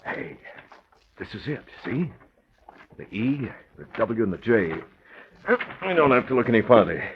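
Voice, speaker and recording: An American actor playing a cool, methodical, commanding masked vigilante in 1940s radio style, deep and husky. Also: male voice, lively intonation, measured pace, pauses often, a little hiss or hum, natural voice. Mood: intent. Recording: dull vintage radio recording.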